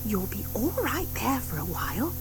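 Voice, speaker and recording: A woman's voice: mid-range voice, very animated, normal speed, over music. A woman voicing an anxious, earnest schoolgirl witch in an audiobook, with an urgent, pleading manner. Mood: soothing.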